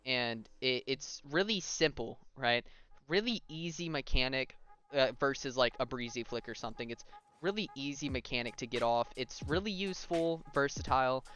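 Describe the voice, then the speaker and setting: A man's voice: mid-range voice, moderate pace, fairly bright tone, smooth, dull audio. A young man giving a casual video game tutorial, talking informally and directly to viewers and often checking in with them. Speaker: gaming tutorial host.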